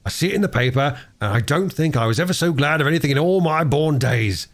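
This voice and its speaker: A kindly working-class railway porter, as a male reader puts him on, homely and rough-spoken. He talks a touch higher and with lively swings. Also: fast. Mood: joyful.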